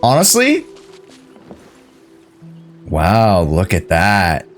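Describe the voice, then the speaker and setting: A man's voice: low voice, huge pitch swings, very slow, soft background music. A man doing casual live reaction commentary as a video game streamer, talking to his chat in slangy, offhand phrases.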